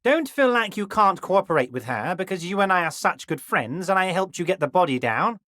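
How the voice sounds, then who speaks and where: A smug, corrupt union boss from a video game. He speaks with a very lively, swinging pitch in a close, dry recording. His manner is oily and ingratiating, all false friendship and sly insinuation. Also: fairly quick, no echo, exaggerated voice. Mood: falsely magnanimous. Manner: ingratiating, oily, insinuating, repeatedly addressing listener as a pal. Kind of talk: video game character dialogue.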